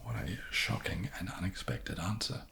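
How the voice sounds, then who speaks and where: A man chatting softly in an ASMR question-and-answer style, with a low gravelly voice and a hesitant, dry, self-deprecating manner. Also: big pitch swings, moderate pace, a little hiss or hum. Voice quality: low, gravelly, soft-spoken. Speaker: ASMR video creator and host. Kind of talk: soft-spoken ASMR question-and-answer chat.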